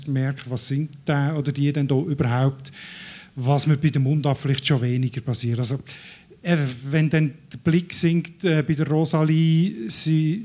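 A man's voice: fairly high pitch, a little mellow. A man with a Swiss German accent, a scientist guest, answering reflectively with frequent hesitations. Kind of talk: casual interview reply.

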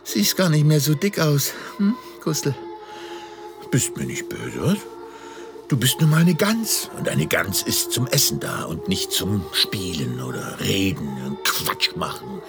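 Blustering father, wildly animated pitch. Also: unhurried, gravelly, over music, heavily acted. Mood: wistful, self-pitying. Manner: blustering, muttering to himself, groaning. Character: blustering, sentimental, portly family father and opera singer. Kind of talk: theatrical German radio play acting.

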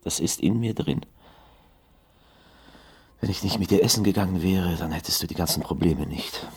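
An actor playing a timid, guilt-ridden young man in love in a radio crime drama, halting and earnest, with a husky voice. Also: low-pitched, exaggerated voice. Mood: sad, wistful. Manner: hesitant, halting, soft-hearted.

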